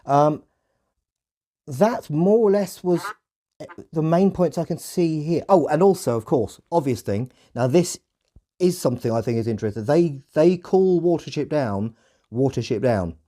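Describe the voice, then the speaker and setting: A man's voice: a little high, animated, measured pace, warm tone. A man hosting a podcast in casual discussion, reflective and often correcting himself as he goes. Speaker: podcast host.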